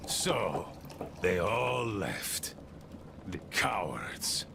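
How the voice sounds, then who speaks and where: Game role: bitter, deranged crippled gang boss, heavily creaky voice, slow and swinging widely in pitch. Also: male voice, sing-song, background noise, heavily acted. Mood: bitter, brooding. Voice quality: gravelly, creaky. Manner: rambling, scornful, theatrical swings from muttering to shouting. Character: unhinged, bitter, abandoned crippled gang leader talking to his boys. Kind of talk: video game character acting.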